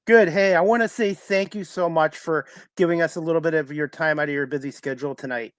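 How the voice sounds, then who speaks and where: A man's voice: a little high, fast, no echo, clean studio sound. A man in his fifties hosting a sports talk show, reading introductions and sponsor messages and asking questions in a formal announcer manner. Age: 50s.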